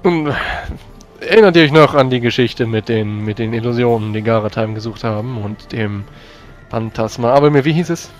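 A man's voice: medium pitch, moderate pace, faint music behind. A man improvising in-character dialogue as a tabletop player, with formal, old-fashioned phrasing. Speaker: tabletop role-playing player.

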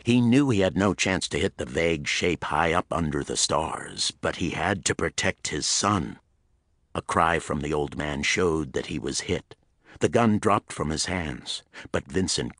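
Deep male audiobook narrator, suspenseful pulp thriller read, very dry close sound. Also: expressive, measured pace, a bit bright, no echo. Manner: dramatic, suspenseful storytelling.

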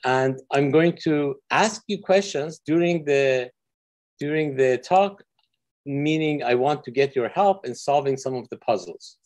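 A man's voice: mid-range voice, measured pace, darkish tone. Man from Iran giving an online physics lecture. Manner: friendly, explanatory, with frequent fillers. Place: office with windows. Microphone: video call.

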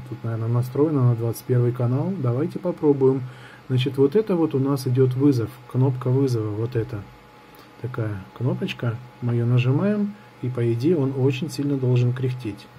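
Male product reviewer, mid pitch, unscripted and hesitant, thinking aloud; homemade tabletop recording with some background noise. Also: normal speed, warm tone, low-quality recording. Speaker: gadget reviewer. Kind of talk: casual hands-on product review. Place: indoors at a table in an apartment.

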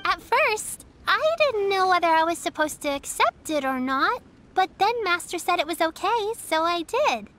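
A woman's voice: very high-pitched, big pitch swings, normal speed, slight background noise, exaggerated voice. A bubbly little girl. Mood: cheerful. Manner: bubbly, earnest, chatty. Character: cheerful little girl, sweet and eager. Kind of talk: video game character dialogue. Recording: game audio with soft music behind.

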